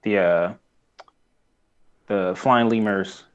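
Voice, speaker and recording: A man's voice: on the low side, steady tone, drawn-out words, clean tone, muffled recording. A man co-hosting a podcast, recapping in casual chat with a hesitant, easily amused delivery. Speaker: podcast co-host.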